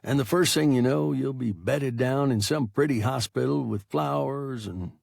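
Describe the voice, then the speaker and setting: A man voicing a weary, fatherly male pilot who keeps his voice low and soft. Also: vocal fry, no room sound, clean studio sound. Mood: soothing. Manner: low and deliberately soft, trying to sound calm. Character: weary middle-aged male pilot, gruff but fatherly.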